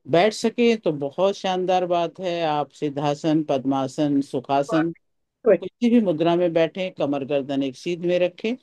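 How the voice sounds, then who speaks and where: Meditation teacher, really slow n calm, warm mellow voice, guiding in short bits. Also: mid-range voice, dry recording. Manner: calm, soothing instructions in short pausing phrases. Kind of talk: guided meditation instruction.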